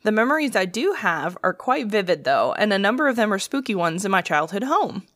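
A woman co-hosting a podcast, reacting with short exclamations and reading a spooky story in a casual, expressive way. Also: mid-range voice, fairly quick, no echo. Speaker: podcast co-host.

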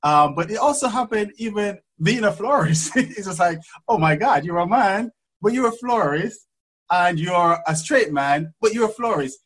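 A man from Jamaica with a Jamaican accent, a guest in an interview who speaks hesitantly with many pauses and laughs easily. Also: sits high, expressive, a bit bright, breathy edge. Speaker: guest florist. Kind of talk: casual interview chat.

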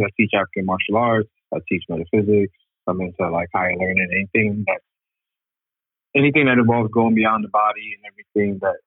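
A man calling in as a guest, calmly explaining spiritual ideas as a teacher of martial arts and metaphysics. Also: lowish voice, slightly rough, no room sound. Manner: calm, steady, explanatory. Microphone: phone call. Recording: tinny phone line.

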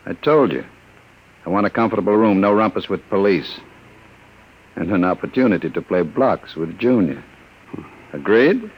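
Muffled old radio: suave male gangster, low, lively inflection, quietly menacing and commanding. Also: moderate pace, long pauses, slightly rough, full character voice. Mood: cool menace. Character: smooth-talking, menacing male gangster on the run. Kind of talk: old-time radio drama acting. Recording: dull, muffled vintage radio recording.

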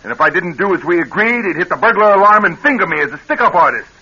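An actor in an American radio drama playing a bitter, wary ex-convict who is down on his luck, husky and curt. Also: high voice, sing-song, quick pace, pushed and bright, full character voice. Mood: bitter, defensive. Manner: curt, gruff, defensive. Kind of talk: classic radio drama acting. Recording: muffled old radio recording.

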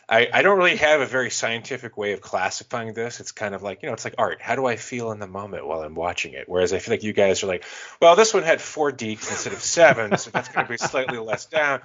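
A deep-voiced man co-hosting a hockey podcast as the fan, rambling and joking about how he ranks plays. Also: animated, fast talker, fairly bright tone, dull audio. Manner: rambling, self-deprecating, joking. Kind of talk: casual podcast chat.

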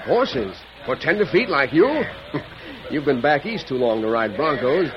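An actor playing a hearty, folksy old Western mine owner with a scheming streak in an old-time radio western. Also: male voice, medium pitch, big pitch swings, a little slow. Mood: hearty, teasing. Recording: dull old radio recording, crowd noise behind.

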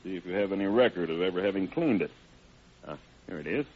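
An actor playing a steady, persistent sheriff's investigator who questions people methodically in an old radio crime drama. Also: male voice, sits low, fast, breathy edge. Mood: businesslike, courteous. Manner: methodical questioning, plain-spoken. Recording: muffled, narrow-band old radio broadcast.

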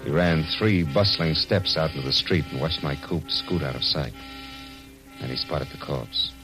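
An American actor playing a tough, sardonic private detective in an old radio noir drama, with curt, slangy delivery. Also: male voice, rumbling low, normal speed, music in the background. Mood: wry narration. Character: hard-boiled, bullying male private detective, sardonic and tough. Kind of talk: 1940s-1950s radio noir acting and first-person narration.

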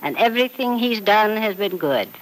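A woman's voice: mid-range voice, animated, moderate pace, natural voice, rough recording. An actress playing a frank, doting, wealthy elderly mother in an old radio soap, speaking with emphatic, theatrical affection. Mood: emphatic praise. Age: older. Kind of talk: 1940s radio soap opera acting. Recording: old radio drama recording.

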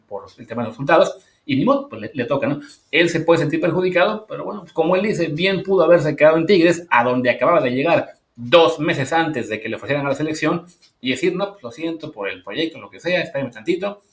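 A man talks football in a loose, chatty way, sprinkling in filler words. His voice sits at a middling pitch. The recording carries a roomy echo. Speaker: podcast co-host. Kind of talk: casual sports chat.

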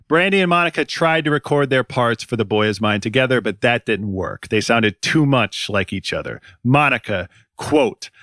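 A man hosting a music podcast, reading scripted commentary in a dry, wry, deadpan way. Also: mid-range voice, no echo. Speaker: podcast host.

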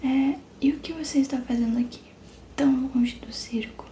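A woman's voice: sits high, fairly even intonation, moderate pace, warm tone, a little hiss or hum, lightly acted. A woman whispering the lines of a playful ghost clown who speaks as a floating nose in a storybook reading. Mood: wry.

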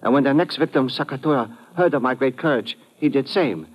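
A man's voice: medium pitch, fairly quick, lightly acted. Man acting a smug foreign villain, formal and clipped. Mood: smug, menacing. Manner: formal, precise, clipped wording with dropped articles. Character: scheming foreign doctor villain, smug and coldly menacing. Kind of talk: old-time radio drama acting. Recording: vintage muffled radio broadcast.

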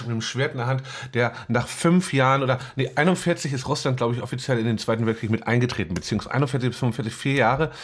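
A man with a mid-pitched, slightly rough voice talks very quickly in casual podcast chat. His explanations ramble, and he often corrects himself mid-sentence. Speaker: podcast host.

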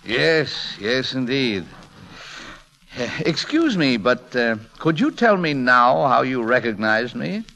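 An actor playing a shy, flustered middle-aged English gentleman posing as a valet, with a hesitant, stammering classic radio drama delivery. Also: medium pitch, very animated, slow, gravelly, heavily acted. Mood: hesitant, polite. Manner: hesitant, stammering, indignant when challenged.

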